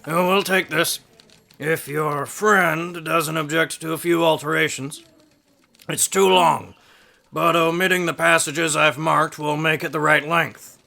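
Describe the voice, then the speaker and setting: A man's voice: fairly high pitch, steady tone, measured pace, vocal fry, bright, forceful tone, exaggerated voice. A man playing a curt, businesslike newspaper editor in an audio drama, matter-of-fact and dismissive. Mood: brusque, businesslike.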